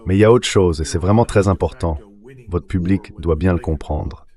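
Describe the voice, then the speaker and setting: A man's voice: deep voice, moderate pace, mellow. A man's synthetic dubbing voice, speaking as a guest giving translated interview commentary.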